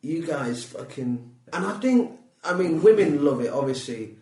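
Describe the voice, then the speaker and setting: A man's voice: on the high side, normal speed, reverberant, distant mic. A man with a Mancunian accent, a guest comedian in a casual podcast chat, ranting with plenty of swearing and jokes. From England, Manchester area. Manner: swearing, animated, jokey rants.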